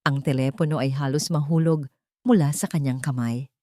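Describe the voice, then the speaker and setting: A woman's voice: extremely low pitch, fairly even intonation, normal speed, warm tone, no echo, clean studio sound. A woman narrating a scripted romance story in a dramatic storytelling style.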